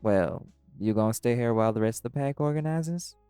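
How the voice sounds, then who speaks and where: A man playing a calm, caring were-dog pack alpha in an audio roleplay, gently reassuring a friend. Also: mid-range voice, brisk, clean tone, no echo, faint music behind, natural voice. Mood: steady, earnest. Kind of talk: audio roleplay acting, intimate comforting talk.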